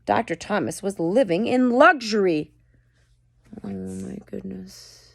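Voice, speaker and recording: A woman's voice: mid-range voice, takes it slowly, creaky, no echo, clean studio sound. A mother reading a book aloud to her children at home, stopping often to comment and explain. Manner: chatty, stops to comment and explain to listeners.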